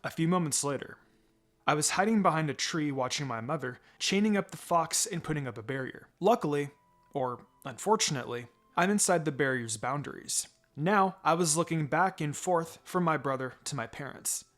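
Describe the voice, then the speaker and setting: A man reading fan fiction as a dramatic first-person narrator, with halting pauses and background music. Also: on the high side, expressive, fairly bright tone. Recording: music behind the voice.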